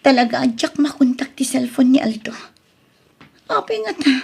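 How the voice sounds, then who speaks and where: A woman's voice: high-pitched, animated, normal speed, creaky, over music. A woman acting in an Ilocano drama as an anxious, pleading young woman in love, with an Ilocano accent. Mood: worried.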